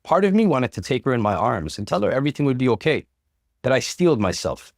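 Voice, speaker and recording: A man's voice: medium pitch, fast, no echo, studio quality. A man reading a first-person relationship story as a dramatic scripted narration, acting out the emotion expressively. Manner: expressive, acts out dialogue.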